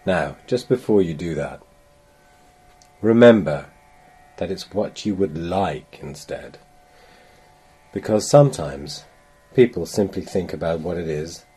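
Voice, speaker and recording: A man with a very low, dark voice leads a guided meditation. He speaks very slowly and gently, leaving long pauses between measured phrases. The tone is mellow and a little breathy. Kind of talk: guided meditation, slow soothing instruction.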